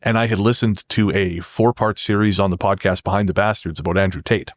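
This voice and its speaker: Low-voiced man talking in halting bursts. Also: steady tone, no echo. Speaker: podcast guest, horror fiction writer. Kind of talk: casual podcast conversation, storytelling.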